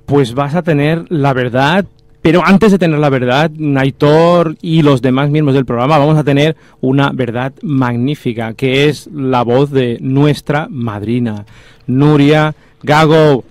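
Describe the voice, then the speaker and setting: A man's voice: mid-range voice, a little slow, darkish tone, no room sound. A man with a Spanish accent hosting a live interview as a radio presenter in a studio. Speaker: radio show host. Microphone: studio microphone with headphones.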